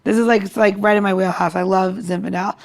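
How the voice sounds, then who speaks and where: A woman's voice: medium pitch, fairly even intonation, fast, vocal fry. A woman co-hosting a podcast in casual chat, talking in a very informal, chatty and emphatic way. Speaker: podcast co-host.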